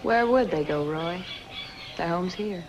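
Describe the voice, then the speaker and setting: An actress playing a lonely, devoted young American woman in a 1950s film noir, breathy, hushed, wistful and guarded. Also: lowish voice, measured pace, noisy background, lightly acted. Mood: tender. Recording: old film soundtrack with music underneath.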